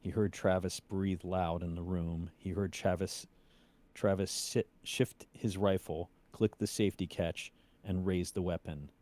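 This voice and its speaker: A man reading aloud in a measured, suspenseful storytelling style. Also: low voice, steady tone, a little mellow. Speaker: cast member reading a story aloud on a stream. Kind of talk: dramatic story reading, then casual chat.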